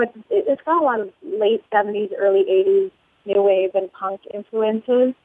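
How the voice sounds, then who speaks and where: A woman's voice: mid-range voice, dry recording. A musician woman from the United States, talking in a phone interview, rambling and laughing often. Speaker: musician guest. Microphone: telephone. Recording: tinny phone line.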